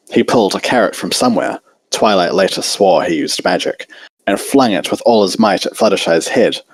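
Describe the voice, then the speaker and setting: A man narrates a piece of fan fiction. His voice sits low, and the recording is dry, with very little room around it. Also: vocal fry, slightly rough, no echo. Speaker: story narrator.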